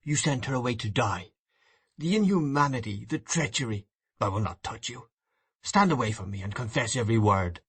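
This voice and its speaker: A man's voice: mid-range voice, animated, moderate pace, husky. A man reading an audiobook voices an enraged, grief-stricken husband in a hard, accusing tone. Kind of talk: audiobook reading of a classic novel.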